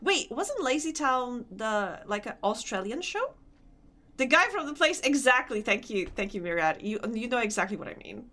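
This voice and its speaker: A woman's voice: on the high side, big pitch swings, normal speed, pushed and bright. A young woman with a Polish accent chatting casually with her stream chat while gaming, laughing often and answering comments. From Poland.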